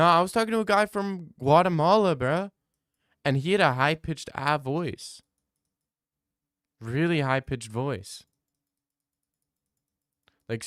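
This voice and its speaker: Young male streamer, loose profane banter, smooth voice, dry close sound. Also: a little high, no room sound. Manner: loose, profane banter, reads chat aloud and riffs. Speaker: streamer answering chat. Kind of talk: casual live-stream chat.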